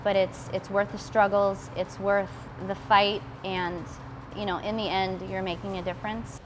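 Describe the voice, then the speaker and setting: A woman firefighter telling how she came to the job, heartfelt and quick. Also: medium pitch, flat delivery, background noise. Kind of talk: interview testimonial. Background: music.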